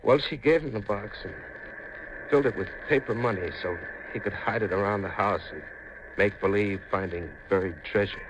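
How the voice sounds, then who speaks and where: Haunted seafaring man, played by a male actor, low-voiced, brooding and folksy; 1950s radio drama, noisy recording. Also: normal speed, lo-fi sound, lightly acted. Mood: brooding, insistent.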